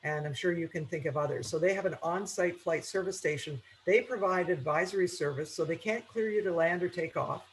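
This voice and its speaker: A man speaks in a somewhat high register. He explains things like an instructor in an informal presentation, repeating key points for emphasis. Some noise sits behind the voice. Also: brisk, hardly any pauses, poor audio. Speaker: aviation instructor and pilot.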